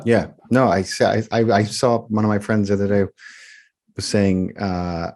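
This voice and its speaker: A man's voice: on the low side, steady tone, normal speed, darkish tone. A man hosting a podcast, chatting casually and chiming in often with agreement. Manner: frequent agreeing interjections. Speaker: podcast host. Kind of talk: casual interview chat.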